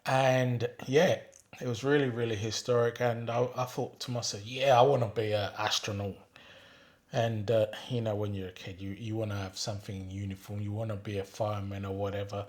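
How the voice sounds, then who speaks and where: A man, reminiscing about his childhood in an unhurried way, often pausing with ums and restarting his sentences. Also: fairly low pitch, flat delivery. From England. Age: 60s. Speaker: retired father telling his life story. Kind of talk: reminiscing monologue reading out questions.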